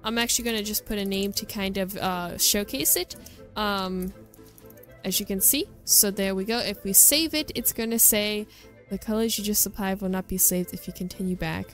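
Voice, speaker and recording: A woman's voice: mid-range voice, normal speed, fairly bright tone, soft background music. A woman hosting a gaming video, giving casual, chatty commentary as she shows things off. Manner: chatty and informal. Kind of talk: casual game showcase commentary.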